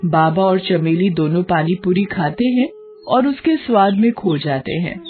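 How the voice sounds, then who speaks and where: A woman narrating a cartoon story in a measured storytelling manner. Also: lowish voice, expressive. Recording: dull lo-fi audio, faint music.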